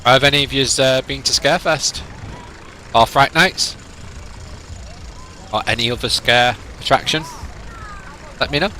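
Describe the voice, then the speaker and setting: A man's voice: medium pitch, measured pace, long pauses, sharp tone, slight background noise. A young man from northern England with a Lancashire accent, streaming a ride simulator game and chatting casually with viewers, sounding a little nasal from a cold. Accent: northern English, Lancashire. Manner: chatty and rambling, reads chat aloud.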